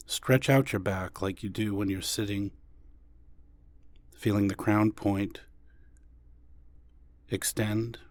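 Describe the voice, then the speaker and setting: A man leading a guided body scan meditation as an instructor, speaking gently and unhurriedly with long pauses. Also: fairly low pitch, no echo, recorded from a distance.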